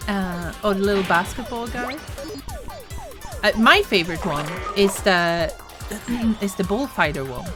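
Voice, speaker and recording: A woman chats through a game stream, rambling and often breaking into laughter. Her pitch rises and falls widely while she keeps an unhurried pace. Game music plays loudly behind her. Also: animated. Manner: chatty, rambling, quick to laugh. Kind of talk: casual gaming stream chat.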